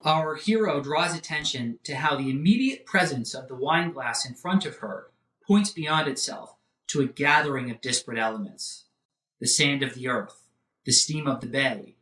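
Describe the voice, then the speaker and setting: A man's voice: mid-range voice, normal speed, pushed and bright. Echoey, distant man lecturing on philosophy. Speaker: professor lecturing. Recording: roomy echo, distant microphone.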